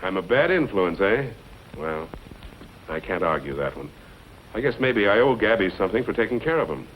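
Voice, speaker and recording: An actor playing a boastful, cold-blooded outlaw in an old western, talking in slangy, menacing lines. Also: male voice, fairly low pitch, sing-song, moderate pace. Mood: sly, cool. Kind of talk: 1940s western film acting. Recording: dull, noisy old film soundtrack.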